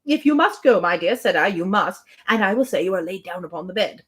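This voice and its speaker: A woman's voice: mid-range voice, expressive, a little fast, breathy edge, pitched below the performer's own voice. A woman reading a classic novel aloud, voicing a middle-aged spinster who chatters on in a breathless, anxiously grateful, repetitive ramble. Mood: flustered, apologetic.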